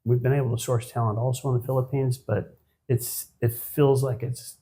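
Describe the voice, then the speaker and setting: A man in his fifties, a guest on a business podcast, answering in a measured, reflective way with frequent pauses and restarts. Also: mid-range voice, flat delivery, warm tone. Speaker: guest, managing partner of an accounting firm. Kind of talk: conversational podcast interview.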